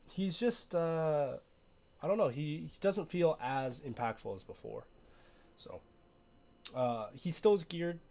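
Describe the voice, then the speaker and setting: A young man, a little hoarse from being sick, talking casually through his game roster with frequent fillers and restarts. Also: mid-range voice, a little mellow. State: hoarse from illness. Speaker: game streamer.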